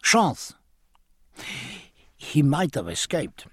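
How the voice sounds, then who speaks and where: A man's voice: drawn-out words, husky, no room sound, studio quality, heavily acted. A man putting on a flamboyant captain. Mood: boastful. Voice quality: raised pitch, lively and flamboyant. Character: effusive French naval captain, middle-aged man.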